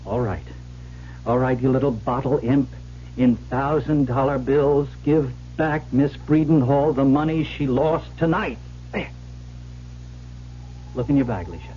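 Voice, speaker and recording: A man's voice: medium pitch, measured pace, pauses often, slight background noise, close to an everyday voice. An actor playing an easygoing, wisecracking man in an old radio drama, with a wry, confiding and theatrical delivery. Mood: confident. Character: easygoing, wisecracking wealthy man haunted by a lucky charm. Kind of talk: 1970s radio drama acting, confiding narration and banter. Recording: dull old radio broadcast with music.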